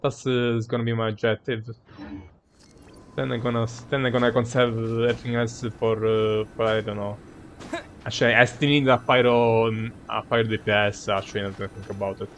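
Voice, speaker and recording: A man's voice: medium pitch, flat delivery, fairly quick, a little hiss or hum. A man who is a non-native English speaker gives casual live game commentary, rambling and searching for words. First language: not English. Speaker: game streamer.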